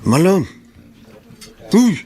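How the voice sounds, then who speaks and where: A man's voice: high voice, sing-song, extremely slow, dark tone, soft background music, exaggerated voice. A man playing an outspoken, exasperated best friend who gives blunt, emphatic advice in a radio drama. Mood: concerned. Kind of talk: Tagalog radio drama acting.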